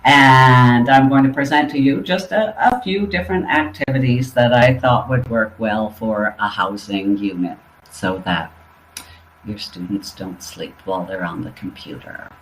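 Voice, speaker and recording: A man, an ESL literacy teacher, giving a webinar presentation in a measured, explanatory way. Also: mid-range voice, a bit bright, a little hiss or hum. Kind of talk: instructional webinar talk. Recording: roomy echo.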